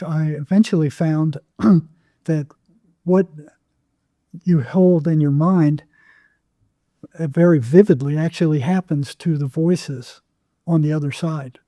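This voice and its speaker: An older man telling his experiences conversationally as an interview guest, in a halting way with repeated words. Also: fairly high pitch, fairly even intonation, dark tone, dry recording. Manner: halting, repeats words, acts out remembered exchanges. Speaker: psychotherapist guest.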